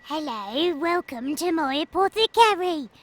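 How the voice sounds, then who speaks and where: A woman playing a slow, high-voiced apothecary. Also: lively intonation, background noise. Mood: businesslike. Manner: polite, clipped shopkeeper manner. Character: brisk, businesslike female apothecary.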